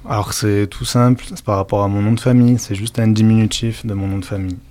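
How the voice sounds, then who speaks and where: A man's voice: lowish voice, flat delivery, fast, darkish tone. A young man answering as a guest rapper in casual, laid-back, slangy interview chat.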